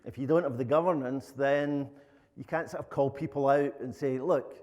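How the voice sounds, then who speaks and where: A man's voice: medium pitch, fairly even intonation, normal speed, darkish tone, muffled recording. A middle-aged man with a Glaswegian Scottish accent, giving an informal, plain-spoken conference presentation. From Glasgow, Scotland. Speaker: conference speaker, lead software architect. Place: conference room, indoors. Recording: echoey hall with long reverb.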